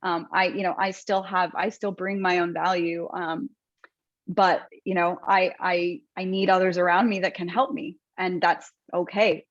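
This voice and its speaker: A woman with a lowish voice, speaking as an interview guest. She talks thoughtfully, with frequent ums and restarts. Her voice sounds dry and slightly muffled. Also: little pitch movement, a little slow, dry recording. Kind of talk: conversational interview.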